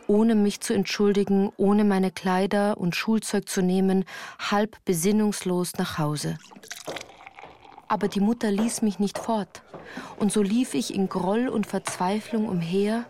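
A woman's voice: mid-range voice, warm tone, a little hiss or hum. A woman reading scripted first-person narration for a radio play. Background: music.

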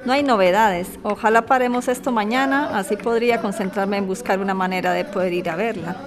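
A woman's voice: medium pitch, fairly quick, natural voice. A woman playing a chatty, caring and concerned neighbor in an audio drama.

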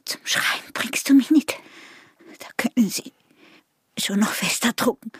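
An actress playing a young, defiant and teasing peasant wife in a radio drama, with a husky, breathy voice and an Austrian rural dialect. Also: female voice, on the high side, a little slow, dry recording, whispered, studio quality. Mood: provocative. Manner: mocking, provocative.

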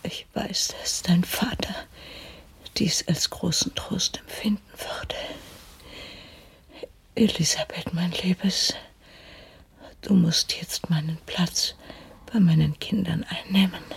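Radio drama casting: tender dying mother, very breathy whisper, slow and weak. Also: lowish voice, exaggerated voice. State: gravely weak. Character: dying mother, gentle, pious, whispering weakly.

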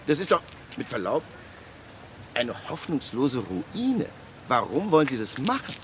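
An actor playing a government official in a radio play, telling a case emphatically with dramatic pauses and acted-out dialogue. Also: male voice, mid-range voice, wildly swooping pitch, slight background noise. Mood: incredulous. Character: animated male government official recounting a land restitution case. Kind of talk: conversational monologue in a radio play.

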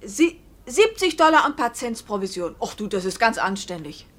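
An actress playing a devoted, reassuring wife in a 1950s German radio drama, affectionate and encouraging. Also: female voice, mid-range voice, big pitch swings, moderate pace, a bit bright. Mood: practical. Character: devoted, reassuring wife who does the household sums.

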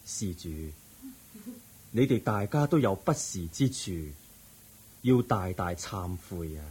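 A husky, solemn Cantonese Buddha. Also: male voice, on the low side, expressive, a little mellow, heavily acted. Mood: solemn, compassionate. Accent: Hong Kong Cantonese. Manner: solemn, measured preaching. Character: calm, compassionate male Buddha counselling an aggrieved believer. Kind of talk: Cantonese Buddhist radio drama acting.

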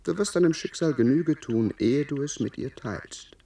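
A man's voice: a little high, moderate pace, vocal fry, mellow, distant mic. A man playing an insistent tempter urging duty in a German radio play, persuasive and theatrical, sounding set back from the microphone. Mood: urgent, commanding. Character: smooth-talking, insistent inner tempter urging a young lord to duty.

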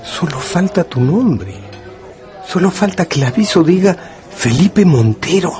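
An actor playing a brooding, deliberate narrator in a radio drama, addressing the listener as you. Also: male voice, a little high, lively intonation, crowd noise. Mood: brooding, intent. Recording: prominent music under the voice. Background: music.

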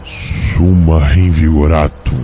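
A man playing a serene old librarian who is secretly a dragon in an audiodrama, calm, measured and wry. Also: a bass voice, a little hiss or hum. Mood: solemn incantation. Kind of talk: audiodrama acting.

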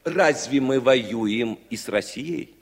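A man's voice: mid-range voice, gravelly. An actor playing a principled, defiant American war veteran in his late thirties, speaking firmly and oratorically in a Soviet radio drama. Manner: measured, firm, oratorical.

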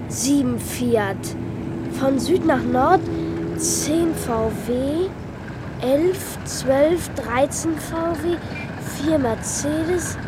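Earnest boy for radio drama, very slow absorbed counting, set in heavy street noise. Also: high-pitched, heavily acted. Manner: methodical, reciting, absorbed. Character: boy earnestly tallying passing cars in a notepad. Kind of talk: radio play acting, counting aloud in a list. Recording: very noisy street with passing cars.

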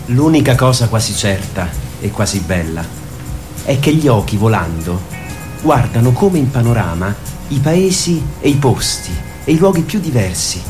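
A man delivering theatrical scripted narration as the narrator of a radio play. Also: medium pitch.

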